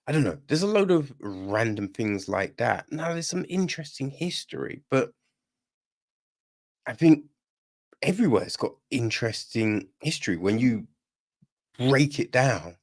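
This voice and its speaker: A man's voice: mid-range voice, very animated, a little slow, long pauses. A man giving a casual podcast film review, rambling and opinionated, with constant tag questions. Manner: rambling, frequent tag questions like "right?" and "you know"; opinionated and irritated.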